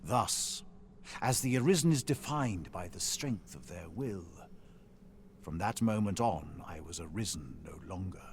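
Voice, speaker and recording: An actor playing a weary, solemn former hero in a fantasy video game, with grave, archaic, measured delivery. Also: male voice, low-pitched, lively intonation, husky, exaggerated voice. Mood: grave, resigned. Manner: grave, archaic, measured storytelling with sighing line endings. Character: weary, solemn former hero, husk of a fallen chosen one.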